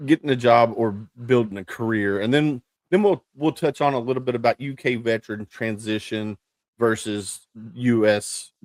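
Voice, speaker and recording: A man's voice: mid-range voice, moderate pace, dry recording. Dry-sounding upbeat male podcast host. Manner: upbeat, filler-heavy, previews topics. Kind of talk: casual hosting chat.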